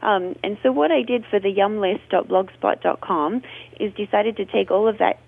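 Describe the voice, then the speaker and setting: A woman's voice: mid-range voice, normal speed, gravelly, no room sound. A woman, a guest in a radio interview, telling her story in a chatty, expansive way. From Australia. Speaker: radio guest, food blogger. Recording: tinny phone line.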